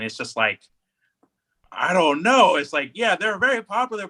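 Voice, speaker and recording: Bright-voiced man chatting casually. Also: fairly high pitch. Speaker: podcast co-host. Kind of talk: casual chat.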